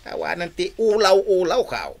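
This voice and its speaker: A man's voice: high voice, very animated, moderate pace, vocal fry, darkish tone, faint music behind, heavily acted. A man playing a good-humored, grumbling husband in an Ilocano radio drama, with an Ilocano accent and hesitant, exclamatory delivery. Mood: amused, hesitant.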